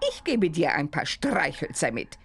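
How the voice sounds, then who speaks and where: A woman voicing a stately, mysterious adult witch in a cartoon game, theatrical and deliberate as she instructs. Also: sits low, big pitch swings, vocal fry, slight background noise, heavily acted. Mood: instructive.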